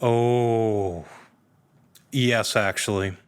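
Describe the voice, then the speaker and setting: A man's voice: lowish voice, fairly even intonation, very slow, smooth. A man chatting casually as a podcast guest, answering questions with joking asides.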